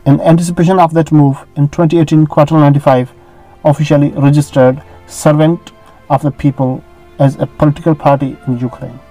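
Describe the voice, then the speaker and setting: A man narrating a scripted biography in a steady, even reading. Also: a little high, brisk, faint music behind, poor audio.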